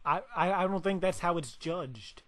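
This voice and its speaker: A young man making an improvised prank call, hesitant and stammering, playing stubbornly slow on the uptake. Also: sits high. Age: young adult. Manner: hesitant, stammering, feigning dim-witted stubbornness. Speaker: prank caller. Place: indoors, small makeshift studio on a couch. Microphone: handheld microphone.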